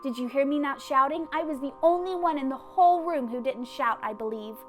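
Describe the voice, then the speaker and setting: Storybook kindergarten girl played by a woman: high, chatty, emphatic, boastful, excitable. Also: a little fast, faint music behind, natural voice. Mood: eager pleading. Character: excitable, boastful kindergarten girl narrating her school day. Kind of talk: children's storybook character reading.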